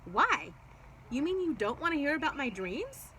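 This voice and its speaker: A high-pitched, swooping little female storybook creature, acted out by a woman reading aloud. She is excitable and self-absorbed, babbling eagerly as if nobody could stop her. There is some background noise. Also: normal speed, heavily acted. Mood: surprised, eager. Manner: babbling, talkative, breathlessly eager. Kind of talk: children's storybook read-aloud.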